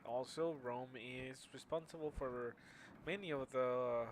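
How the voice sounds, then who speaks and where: A young man whose first language is Spanish, giving a class presentation in a halting way with many fillers and restarts. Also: mid-range voice, a little hiss or hum. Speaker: student presenter.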